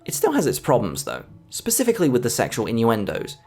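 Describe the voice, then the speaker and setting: A man's voice: medium pitch, huge pitch swings, fast talker, a little mellow, soft background music. A man narrating a scripted film review as the host of a video essay.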